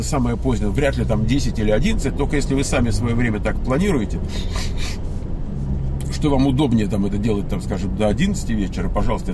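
A man's voice: on the low side, background noise. A man in a casual monologue explaining job requirements as an employer. He rambles with many fillers and restarts and is blunt and mildly sarcastic. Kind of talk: casual spoken monologue to followers.

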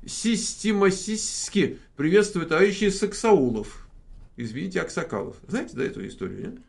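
A man's voice: high voice, expressive, normal speed, creaky. A man hosting a casual live question-and-answer stream, joking and rambling with many fillers. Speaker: host.

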